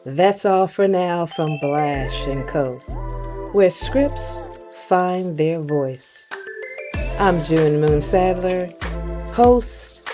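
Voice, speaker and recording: A woman's voice: on the low side, very slow, over music. A woman host reading scripted screenplay narration for an audio drama.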